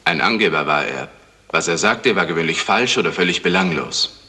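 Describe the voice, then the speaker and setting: An actor playing a blunt, scornful doctor in a 1970s German radio crime drama. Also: male voice, sits low, animated, fairly quick, pushed and bright. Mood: disdainful. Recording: long hall reverberation.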